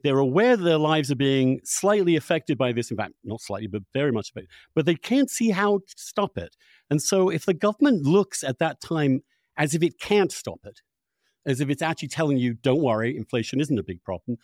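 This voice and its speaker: A man's voice: a little high, big pitch swings, brisk, darkish tone, no echo, studio quality. An older man hosting a conversational political discussion, emphatic and often correcting himself as he goes. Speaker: podcast host.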